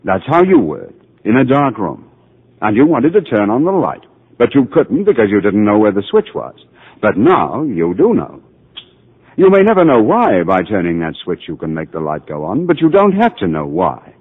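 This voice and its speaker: A calm, patient psychiatrist. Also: male voice, lowish voice, moderate pace, breathy edge, exaggerated voice. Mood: reassuring, gentle. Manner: gentle, patient, explaining. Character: calm, patient middle-aged male psychiatrist. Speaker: narrator and doctor. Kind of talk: 1940s radio acting. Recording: tinny old radio broadcast.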